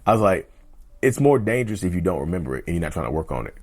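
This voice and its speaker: A man hosting a casual mental health vlog, talking straight to viewers in a loose, repetitive way, his voice going a little hoarse. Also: low voice, very animated, very fast, a little mellow. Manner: conversational, repeats himself, often addresses viewers as "y'all". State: voice getting hoarse. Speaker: mental health video host. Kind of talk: casual direct-to-camera talk.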